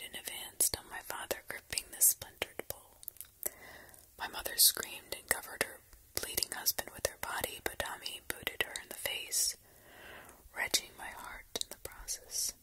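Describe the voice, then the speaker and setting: A woman narrating a horror story entirely in a steady, hushed whisper.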